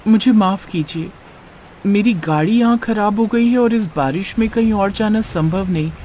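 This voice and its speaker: A man's voice: high-pitched, moderate pace, a little mellow, a little hiss or hum, pitched above the performer's own voice, close to an everyday voice. A man voicing a polite young woman, stranded and mysterious, in a horror cartoon story. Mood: polite, pleading. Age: young adult.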